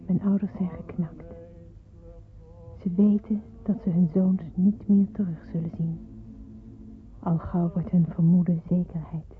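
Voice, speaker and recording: A woman's voice: fairly low pitch, steady tone, a little slow, long pauses, close to an everyday voice. A woman playing an earnest sixteen-year-old girl who tells of wartime grief in a radio drama, solemn and emotive. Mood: grieving, subdued. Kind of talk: radio drama narration and acting. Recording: muffled narrow-band old radio recording.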